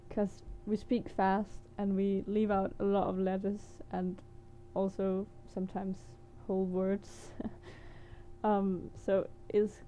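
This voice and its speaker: A woman's voice: mid-range voice, monotone, slow, mellow, a little hiss or hum. A woman from Denmark with a Danish accent, teaching words in a casual, hesitant vlog with many fillers and small laughs. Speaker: language teacher vlogger.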